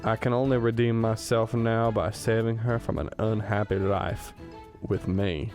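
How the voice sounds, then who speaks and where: A man's voice: on the low side, little pitch movement, unhurried, music in the background. A man playing a cranky, rueful old professor in a comedic radio drama, grumbling in a plain, folksy, self-deprecating way. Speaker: student radio drama actor.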